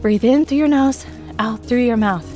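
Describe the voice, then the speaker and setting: A woman voicing an annoyed, bossy young female student in an audio drama, brisk and sarcastic but helpful. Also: a little high, mellow, faint music behind, voice pushed up, lightly acted. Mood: firm, instructing.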